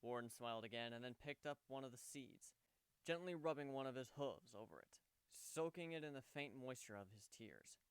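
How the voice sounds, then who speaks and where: A man's voice: medium pitch, lively intonation, normal speed, clear, resonant tone, dry recording. A man narrating fan fiction as a dramatic storybook reading.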